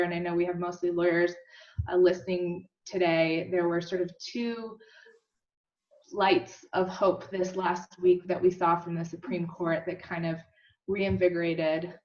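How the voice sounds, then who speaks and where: Wanita bicara ramah lewat panggilan video yang jauh dan teredam. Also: female voice, fairly low pitch, a little mellow. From Costa Rica and Mexico, then Colorado. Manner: upbeat, chatty, frequent fillers. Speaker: panelist, state representative. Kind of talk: panel self-introduction. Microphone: video call. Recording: muffled distant video-call audio.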